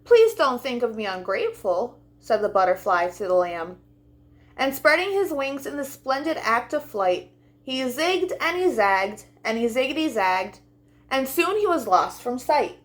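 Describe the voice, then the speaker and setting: A woman hosting a children's storytime, reading and talking directly to young listeners in an expressive way. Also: high voice, a little slow. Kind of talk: storybook read-aloud and talk to young children.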